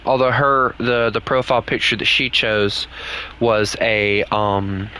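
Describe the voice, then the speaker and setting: A man's voice: fairly low pitch, flat delivery, measured pace, sharp tone, smooth, poor audio. A young man with a Southern US accent, chatting casually and candidly with other players while streaming a game. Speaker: gaming streamer. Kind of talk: casual live-stream chat.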